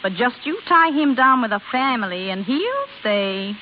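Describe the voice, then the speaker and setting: Dull hissy vintage radio, high-voiced scheming wife, bossy, breezy, worldly. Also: female voice, very animated, normal speed. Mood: scheming, persuasive. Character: knowing, bossy, scheming young married woman. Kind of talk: 1930s-40s radio drama acting. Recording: muffled, hissy old radio recording.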